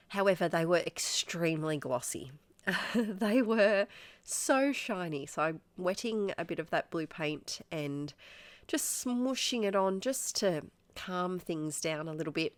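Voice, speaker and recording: Low-voiced Australian woman, congested and stuffy, chatty rambling voiceover host, dry close recording. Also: no echo. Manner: chatty, rambling, self-deprecating, laughs often. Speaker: art channel host. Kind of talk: casual voiceover narrating an art process.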